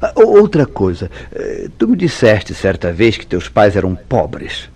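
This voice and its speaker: Low-voiced jealous Brazilian husband. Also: male voice, huge pitch swings, measured pace, darkish tone, slightly rough, exaggerated voice. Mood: accusing, suspicious. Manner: formal, theatrical, interrogating his wife. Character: jealous, suspicious newlywed husband, a temperamental painter. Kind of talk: radio soap opera acting. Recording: radio drama with music behind.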